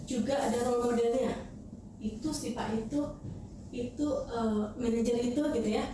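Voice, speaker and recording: A woman's voice: on the high side, normal speed. A woman explaining things in a casual podcast talk, hesitantly and with English terms mixed in. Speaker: talent management manager, podcast guest. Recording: very echoey room, distant mic, noisy.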